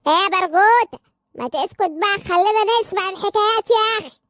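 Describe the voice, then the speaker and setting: A performer voicing an excitable, cheeky young Egyptian grandchild in a comic cartoon, squeaky, whiny and pleading. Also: fast. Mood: placating.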